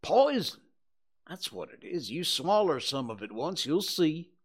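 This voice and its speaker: A bossy, excitable young country boy from a storybook, performed by a man. His voice is pitched up and a little raspy, swinging dramatically as he talks in emphatic rustic dialect. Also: measured pace, exaggerated voice. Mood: bossy, urgent.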